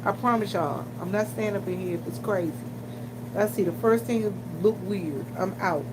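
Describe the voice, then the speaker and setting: A woman from Washington, D.C. talking casually and colloquially to viewers, telling stories in an animated way. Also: medium pitch, moderate pace. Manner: animated, colloquial, acts out other people's remarks. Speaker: vlogger and gas station worker. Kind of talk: casual chatty storytelling to viewers. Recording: noisy room with loud hum. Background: loud humming background noise.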